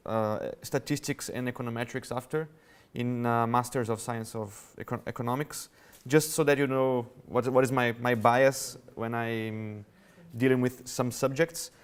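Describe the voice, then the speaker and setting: A man's voice: mid-range voice, steady tone, moderate pace, clean tone. A man from Brazil, a native Portuguese speaker with a Brazilian Portuguese accent, giving a conversational, explanatory conference presentation to an audience. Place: conference hall.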